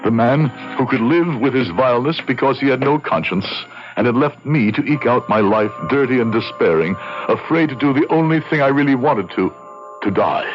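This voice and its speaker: An actor playing a bitter, despairing failed doctor in an old-fashioned radio horror drama, with a brooding, theatrical, sardonic delivery. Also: male voice, medium pitch, animated, normal speed, faint music behind, natural voice. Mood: haunted, despairing. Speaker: narrator and lead character. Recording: dull lo-fi radio drama recording.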